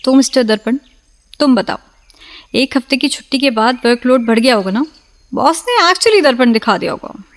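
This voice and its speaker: Guarded, poetic young Ruchi. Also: female voice, high voice, animated, normal speed. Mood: wry. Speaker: radio drama actor. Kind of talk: radio play acting.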